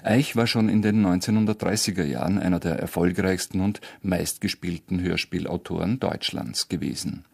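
A man's voice: deep voice, moderate pace, gravelly, hardly any pauses, breathy edge. A man hosting a radio programme with scripted narration. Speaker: radio host.

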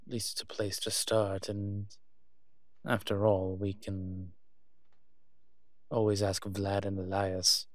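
Give the part to a low-voiced vampire played by a man, wry and watchful. He talks slowly and deliberately, as if thinking aloud in an intimate audio roleplay. The sound is dry and close. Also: flat delivery, no echo. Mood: wry, musing. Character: wry, cautious male vampire father investigating a cabin. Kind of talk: scripted audio roleplay acting addressed to the listener.

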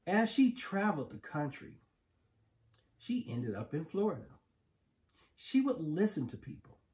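A middle-aged American man reading a children's picture book aloud with measured, emphatic phrasing. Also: fairly high pitch, very animated, darkish tone. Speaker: storybook reader. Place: living room, indoors on a couch. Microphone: camera a few feet away.

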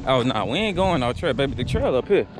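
A man's voice: mid-range voice, big pitch swings, fast. A young man vlogging while hiking up a rocky mountain outdoors, playful and teasing, laughing often, on an action camera. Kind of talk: casual hiking vlog chat.

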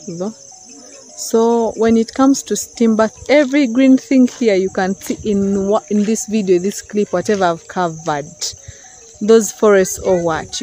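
A woman's voice: medium pitch, dark tone. A young woman, chatting casually as she shows plants in a rural field, talking into a handheld phone camera. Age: young adult. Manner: chatty, explaining plants to viewers. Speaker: vlogger. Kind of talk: casual vlog walkthrough. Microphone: phone camera, often held at arm's length.

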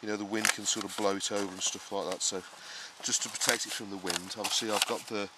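A man giving a casual, explanatory gardening talk in a halting, unscripted way, as a vlogger would. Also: fairly low pitch, flat delivery. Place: outdoor vegetable garden and greenhouse. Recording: clearly noisy outdoor ambience, uneven distance.

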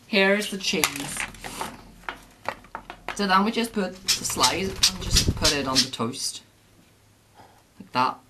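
A woman's voice: fairly low pitch, lively intonation, slow pace, long pauses. A young woman vlogging casually in her home kitchen, rambling and chatting to her pet bird as she makes lunch. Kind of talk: casual home cooking vlog. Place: small home kitchen, indoors. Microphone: handheld camera. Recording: noisy camera audio.

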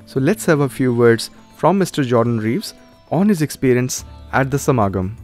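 A man's voice: medium pitch, expressive, normal speed, a little mellow, soft background music. A man working as a news reporter, presenting scripted reports and interview questions.

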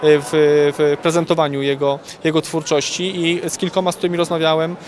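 A man's voice: on the high side, little pitch movement, fairly quick. A man reporting in an interview with a hesitant, stop-start delivery. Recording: noisy urban background.

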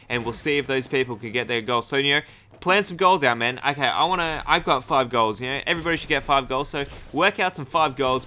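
A man's voice: medium pitch, bright, forceful tone, a little hiss or hum. A young man giving casual, hyped, rambling commentary over his own gameplay. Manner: hyped, rambling, self-deprecating. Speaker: gaming YouTuber. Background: game gunfire.

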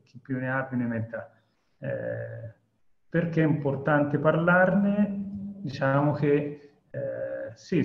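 Man in his thirties, webinar presenter, roomy echo, dull video-call sound. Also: medium pitch. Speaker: event co-founder and presenter. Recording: echoey, dull webinar audio.

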